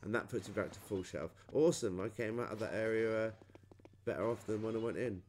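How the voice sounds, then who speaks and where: British guy doing a chatty game walkthrough, kinda low voice, thinking out loud, noisy clicky home mic. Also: fairly quick. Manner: chatty, informal, thinking aloud. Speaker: gamer recording a playthrough. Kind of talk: casual game walkthrough commentary.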